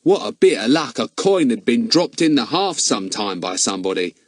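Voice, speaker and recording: A fussy, good-natured boy exclaims in a high, flustered voice, put on by a man reading aloud. The pitch jumps widely, and the sound is close and dry. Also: sing-song, brisk, no echo. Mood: relieved. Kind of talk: audiobook character voice.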